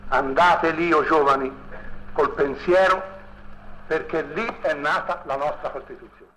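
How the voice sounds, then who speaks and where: A man's voice: on the high side, steady tone, unhurried, bright, forceful tone. A man giving solemn, declamatory public oratory on an old archival recording. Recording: noisy archival speech recording.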